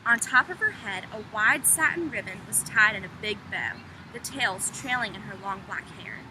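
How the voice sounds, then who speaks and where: A woman's voice: medium pitch, lively intonation, moderate pace. A woman teacher reading a story aloud to children, speaking to her young listeners directly, recorded outdoors. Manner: addresses young listeners with questions and prompts. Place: outdoors in the open. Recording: noisy windy outdoor recording with traffic.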